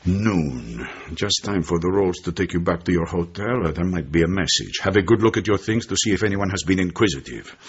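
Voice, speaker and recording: An actor playing a genial, shrewd Turkish intelligence chief in a radio drama, with a Turkish accent, a husky voice and an expansive manner. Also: male voice, deep voice, expressive, brisk, few pauses, dull audio. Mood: warm, confiding. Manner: expansive, tags sentences with a questioning "eh".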